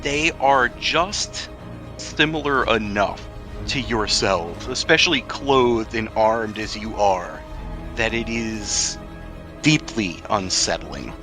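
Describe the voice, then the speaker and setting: A man's voice: lowish voice, slow, music in the background. A man improvising narration as the game master of a tabletop role-playing session.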